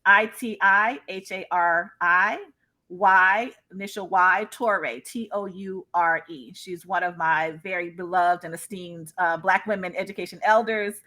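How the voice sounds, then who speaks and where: A woman's voice: fairly low pitch, a little slow, sharp tone. A woman speaking as a guest in a live discussion, conversational and emphatic, often checking in as she shares her experience. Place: live online video call.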